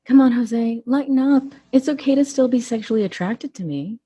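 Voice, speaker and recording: A woman acting in an audio drama as a bold, flirtatious, gossipy best friend with a sassy, expressive conversational manner. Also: fairly high pitch, normal speed, husky. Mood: teasing, flirtatious.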